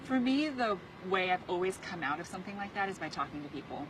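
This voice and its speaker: A woman's voice: fairly low pitch, animated, fast talker, noisy background. A woman in her thirties, whose first language was Chinese, chatting upbeat in an interview on location. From United States. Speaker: interview guest. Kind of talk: casual interview chat. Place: car, office and rooftop, on location. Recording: music bed under the talk.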